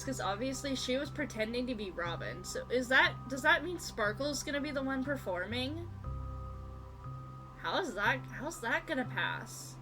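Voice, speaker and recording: Excitable high-pitched woman streamer. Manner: excitable, sweary, exclamatory. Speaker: streamer reacting to a game. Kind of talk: casual live commentary. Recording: game music behind.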